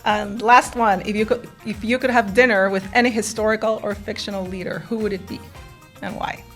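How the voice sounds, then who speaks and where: A woman from Peru hosts a relaxed interview with a mid-range voice. She affirms her guest often and tags her remarks with questions. Faint music plays in the background. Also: medium pitch. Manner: chatty, often tags "right?", quick affirmations. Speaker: interviewer host. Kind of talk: casual interview conversation.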